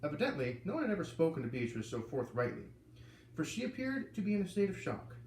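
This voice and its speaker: Lively man reading a story aloud. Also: fast, distant mic. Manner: lively, voices characters with raised pitch. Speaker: reader. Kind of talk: reading a children's novel aloud. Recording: echoey room with faint ambient noise.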